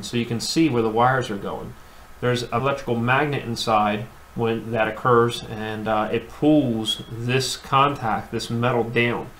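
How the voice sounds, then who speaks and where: A man, an HVAC technician, demonstrates hands-on at a workbench, in a voice of middle pitch. He explains plainly and circles back with fillers. The recording has the echo of a bare room and a faint background hum. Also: a bit bright.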